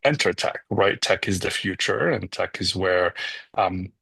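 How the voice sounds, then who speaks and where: A man from Morocco, a nonprofit founder, answering interview questions in a reflective way, often pausing on "um" and checking in with "right?". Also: deep voice, gravelly, sharp tone, breathy edge, no room sound.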